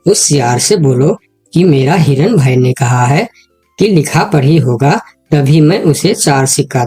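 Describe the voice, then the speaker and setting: A man's voice: a little high, darkish tone, breathy edge. A man voicing a sensible, protective deer in a cartoon moral story, speaking in a concerned, advising way. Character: sensible, protective male deer, loyal friend.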